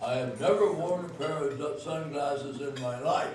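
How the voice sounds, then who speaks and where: A man in his nineties talking at a dinner, halting, with many fillers and chuckles. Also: a little high. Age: 90s. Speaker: veteran speaking at a dinner. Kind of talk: rambling after-dinner remarks. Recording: echoey hall from far off, noisy.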